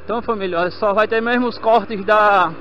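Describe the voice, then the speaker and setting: A man's voice: high-pitched, fairly even intonation, brisk. A young man, chatting casually to his viewers as family while riding a motorcycle through city streets. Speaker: motovlogger. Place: on a motorcycle in city traffic, outdoors. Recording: dull lo-fi helmet mic with road noise.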